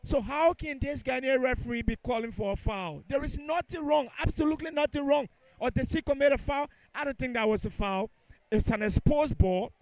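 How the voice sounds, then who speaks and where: A man's voice: extremely high pitch, fairly quick, no room sound, poor audio. A man giving live football commentary in a critical, opinionated way, repeating points for emphasis.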